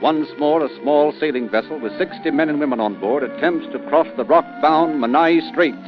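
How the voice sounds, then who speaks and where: Male narrator, vintage radio style: theatrical, deliberate, building suspense over prominent music, muffled sound. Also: on the high side. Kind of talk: scripted dramatic old-time radio narration. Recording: dull old radio recording.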